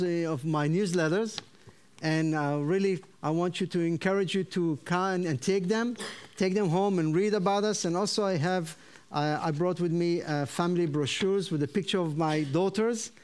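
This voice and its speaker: A man with an Israeli accent, a native Hebrew speaker, preaching to a congregation and teaching Hebrew words, with frequent fillers and pauses. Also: high-pitched, clear, resonant tone. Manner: hesitant with many fillers, then animated teaching. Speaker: visiting pastor. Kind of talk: sermon to a congregation.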